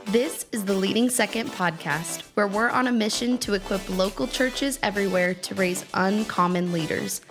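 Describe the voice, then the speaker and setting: A woman's voice: mid-range voice, normal speed, few pauses, over music. A woman from the podcast team reading a scripted, upbeat introduction. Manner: upbeat, promotional. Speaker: podcast team member, announcer.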